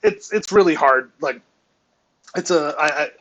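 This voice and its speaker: A man's voice: fairly high pitch, unhurried, fairly bright tone. A man on a live stream reviewing his team's game footage, talking in a rambling, analytical way with many restarts, and he says he is losing his voice. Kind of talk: casual live-stream film breakdown.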